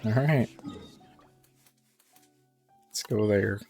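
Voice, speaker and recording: A man's voice: on the low side, very slow, darkish tone. A man giving casual, offhand commentary as he streams a video game. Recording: faint game music behind.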